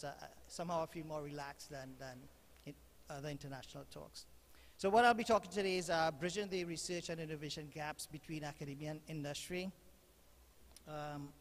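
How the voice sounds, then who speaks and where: A man with a Trinidadian accent giving a university lecture, hesitant, with many fillers and restarts. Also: on the high side. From Trinidad and Tobago. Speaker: guest lecturer. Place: large lecture hall. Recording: reverberant hall, faint room noise.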